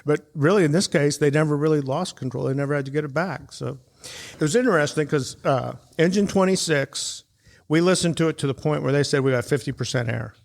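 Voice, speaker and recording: Gravelly man in casual discussion. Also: a little high, fairly quick. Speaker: podcast co-host.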